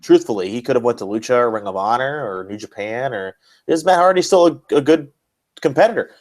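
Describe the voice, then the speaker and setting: A man's voice: medium pitch, lively intonation, brisk, darkish tone. A man hosting a casual wrestling podcast, ranting and bantering in an emphatic, sarcastic way. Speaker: podcast host.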